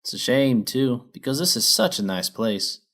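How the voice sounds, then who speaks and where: A man puts on an old storybook pony who hauls a wagon. He is folksy and plain-spoken with a wary streak, and his pitch rises and falls freely. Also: sing-song, normal speed, fairly bright tone.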